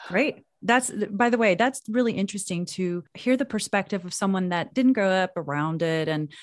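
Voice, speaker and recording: A woman's voice: mid-range voice, moderate pace, dry recording, studio quality. A woman hosting a podcast interview, affirming and encouraging her guests. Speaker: podcast host.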